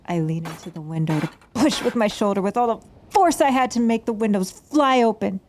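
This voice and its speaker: A woman's voice: mid-range voice, fairly quick, a little hiss or hum, close to an everyday voice. A woman playing an embittered, defiant stage magician in an audio drama, narrating her own story with dramatic intensity. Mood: tense, brooding. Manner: dramatic, swings from hushed narration to angry outbursts. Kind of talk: audio drama acting with first-person narration.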